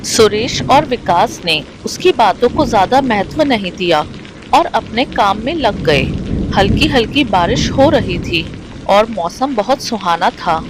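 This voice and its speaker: A woman's voice: medium pitch, expressive, unhurried. A woman reading scripted narration for a moral storybook tale. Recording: rain, thunder and vehicle noise behind.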